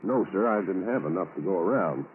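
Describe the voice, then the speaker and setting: An actor playing a talkative, obliging small-town storekeeper in a 1940s radio drama, with a folksy manner. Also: male voice, low-pitched, lively intonation, a little fast, phone-quality sound. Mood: chatty, helpful. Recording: noisy, band-limited 1940s radio recording.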